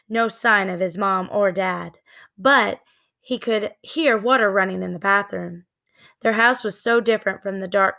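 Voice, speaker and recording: A woman narrating a children's book in a deliberate, expressive storytelling manner. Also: medium pitch, gravelly. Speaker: read-aloud storyteller.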